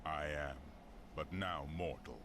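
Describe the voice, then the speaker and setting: A fallen angel made mortal speaks with resolute authority in a fantasy game. His deep voice is solemn and commanding, over clearly noisy ambience. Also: slow. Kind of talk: dramatic fantasy game acting.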